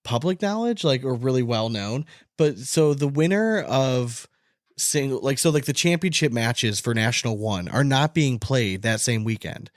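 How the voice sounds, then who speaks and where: A man's voice: medium pitch, animated, normal speed, dry recording, clean studio sound. A man hosting a casual sports podcast, chatting in a blunt, sweary, slangy way. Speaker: podcast host.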